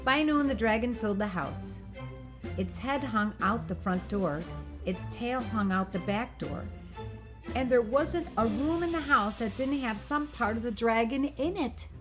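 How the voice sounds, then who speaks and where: A woman reading a picture book aloud for children, in an expressive, animated and emphatic storytelling delivery. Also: fairly high pitch, unhurried. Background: music.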